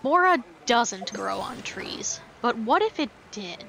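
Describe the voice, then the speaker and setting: Female game streamer, casual and playful, slightly high voice, faint music underneath. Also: big pitch swings, slow, vocal fry, fairly bright tone, rough recording. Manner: playful, wry asides. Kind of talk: casual live gameplay commentary.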